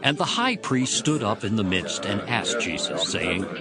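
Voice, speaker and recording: A man's voice: lowish voice, very animated, moderate pace, over loud music. A man narrating dramatized scripture as a storyteller between the character parts.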